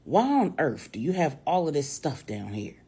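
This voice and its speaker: A woman's voice: low-pitched, big pitch swings, moderate pace, dark tone, breathy edge, heavily acted. A woman storyteller playing a stubborn, skeptical criminal man with an incredulous, challenging manner. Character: defiant, skeptical, wounded criminal man on the run.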